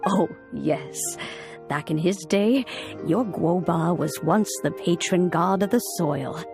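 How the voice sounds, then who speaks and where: An actress playing an ageless, wise immortal woman in a video game, telling old tales in a solemn, measured, formal way. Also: on the low side, over music. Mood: solemn, nostalgic. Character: ageless immortal adeptus woman, wise, stately, nostalgic storyteller. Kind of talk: dramatic video game storytelling.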